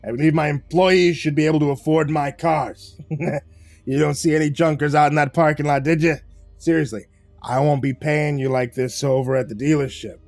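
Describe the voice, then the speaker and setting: A man voicing a brash, folksy middle-aged car dealer boss who talks in casual slang with cajoling pauses. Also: a little high, steady tone, darkish tone, no room sound, soft background music, lightly acted.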